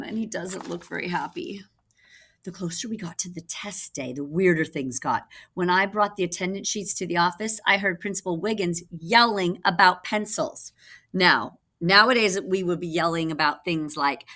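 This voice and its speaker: Woman, lowish pitch, storybook read-aloud, expressive, teacherly, casual asides explaining things to young listeners. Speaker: reading teacher.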